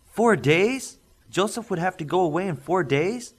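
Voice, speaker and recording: A man's voice: high voice, lively intonation, moderate pace, pitched above the performer's own voice. A man reading the part of a courteous, protective father with an emphatic, questioning manner in an audiobook. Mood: disbelieving.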